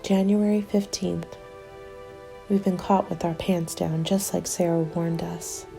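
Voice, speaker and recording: A woman playing a weary survivor of a zombie winter in a soft, breathy, confiding ASMR roleplay. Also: on the low side, steady tone, measured pace, faint music behind. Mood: grim, worried.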